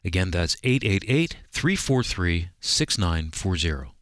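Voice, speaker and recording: A man reading scripted promotional narration as a radio announcer, measured and clearly enunciated on a close studio mic. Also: sits low, very animated, fairly bright tone, no echo.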